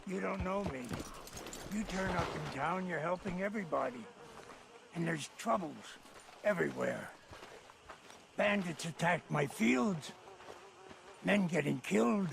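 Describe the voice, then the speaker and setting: A man playing a bitter, haughty old landowner nursing a feud in a video game, his voice gravelly. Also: high voice, lively intonation, measured pace, pauses often, slight background noise, heavily acted. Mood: guarded, aggrieved. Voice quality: gravelly, creaky. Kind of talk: video game cutscene acting.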